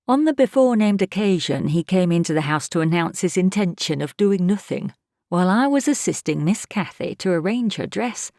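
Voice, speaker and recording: An actress playing an observant, plain-spoken housekeeper who tells a story in measured audiobook narration. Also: female voice, fairly low pitch, lively intonation, darkish tone, no room sound, clean studio sound.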